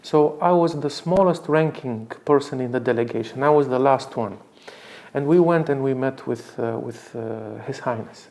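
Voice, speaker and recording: Halting, mellow-voiced man from Romania. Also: mid-range voice, vocal fry. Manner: halting, hesitant, formal, repeats words. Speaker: diplomat, interviewee. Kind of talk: spoken tribute in an interview.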